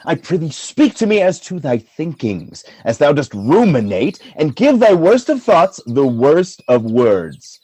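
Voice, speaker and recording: An actor playing a noble general sliding into anguished jealousy, declaiming Shakespearean verse for a radio play in a husky voice. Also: male voice, on the high side, sing-song, measured pace, few pauses, warm tone, no echo, full character voice. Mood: commanding, probing. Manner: theatrical, declamatory verse delivery.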